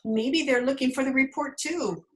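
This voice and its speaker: A woman playing a dry, sardonic, quick-witted schoolteacher in old-time radio comedy style. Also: high-pitched, close to an everyday voice. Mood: wry. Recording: noisy remote video call with clicks.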